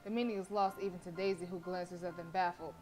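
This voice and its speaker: Casual, expressive woman streamer. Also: on the low side, fast. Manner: informal, expressive, reacting aloud. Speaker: livestreamer reading a visual novel. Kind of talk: casual game commentary and read-aloud narration.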